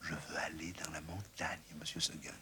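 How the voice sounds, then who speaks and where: A man voicing a wistful, stubborn young female goat in a soft, half-whispered, husky voice. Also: extremely low pitch, little pitch movement, normal speed, voice pushed down, heavily acted. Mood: pleading.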